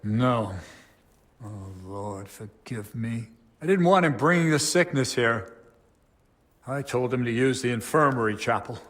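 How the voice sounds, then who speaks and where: A man's voice: medium pitch, animated, measured pace, fairly bright tone. A man playing a devout, troubled abbot in a medieval video game drama, speaking in a solemn, formal, pious way. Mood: regretful.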